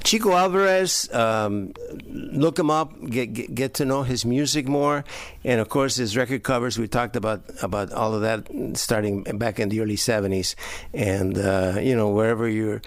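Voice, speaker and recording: A man hosting a radio interview, relaxed, chiming in with short agreements. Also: mid-range voice, normal speed, no room sound. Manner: laid-back, brief affirmations. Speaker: radio host. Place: in person in New York.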